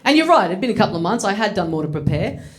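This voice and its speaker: A man's voice: high voice, very animated, very fast. Australian man, 30s, stand-up comedian, wry, self-mocking, acts out voices. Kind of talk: stand-up storytelling. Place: comedy studio with live audience.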